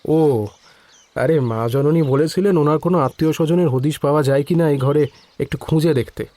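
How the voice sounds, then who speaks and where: An actor playing a casual, slightly evasive estate employee in a Bengali audio story, chatty and familiar with some hesitation. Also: male voice, on the high side, a little fast, mellow, no echo, close to an everyday voice.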